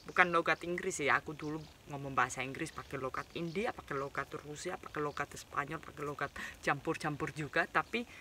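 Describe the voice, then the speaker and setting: Young woman vlogger, chatty, laughs a lot, very bright tone, faint hiss behind. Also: deep voice. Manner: chatty, laughs often, mixes in English words. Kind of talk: casual talk to camera.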